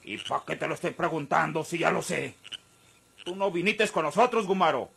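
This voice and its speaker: An actor playing a stern, vengeful old ranch boss in a Mexican radio drama, with rural Mexican speech and an accusing, commanding manner. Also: male voice, a little high, normal speed, creaky, husky, full character voice. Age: older. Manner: forceful, accusing, rustic speech.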